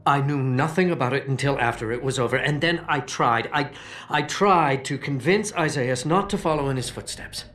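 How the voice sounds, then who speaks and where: An actor playing a grieving, self-righteous leader of a political movement in a video game, with measured, formal, oratorical speech. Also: male voice, mid-range voice, a bit bright, close to an everyday voice. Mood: pleading. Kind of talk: dramatic video game acting.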